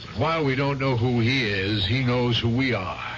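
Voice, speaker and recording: An actor playing a cautious war veteran in a 1970s radio drama, reasoning warily. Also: male voice, medium pitch, normal speed, close to an everyday voice. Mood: wary. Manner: wary, reasoning. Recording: dull 1970s radio broadcast, noise behind.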